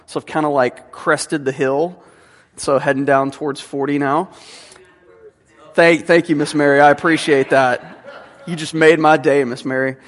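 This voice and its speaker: A man's voice: on the high side, fairly even intonation, normal speed. A man in his thirties, a teaching pastor giving a conversational sermon with frequent fillers, in a church sanctuary. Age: 30s. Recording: long hall reverb.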